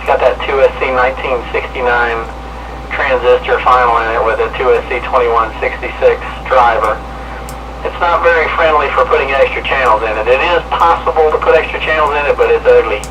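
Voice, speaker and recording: A middle-aged man chats casually over a two-way radio. His mid-pitched voice sits far from the mic. Clear radio noise runs through the whole transmission. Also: a little fast, muffled recording. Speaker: CB radio hobbyist. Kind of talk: casual radio check-in chat about equipment. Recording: distant, loud CB radio static and noise.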